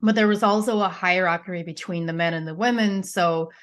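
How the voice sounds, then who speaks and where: A woman's voice: lowish voice, brisk. A young woman with a Pennsylvania Dutch accent, her first language, telling her life story as a podcast guest in a chatty way, full of fillers and restarts. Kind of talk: casual interview storytelling.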